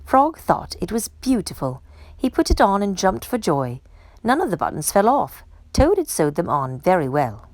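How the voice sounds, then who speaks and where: A woman's voice: mid-range voice, moderate pace, no room sound. A woman reading a children's story aloud expressively, acting out the dialogue as she goes. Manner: animated, acts out character dialogue. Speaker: storytime reader.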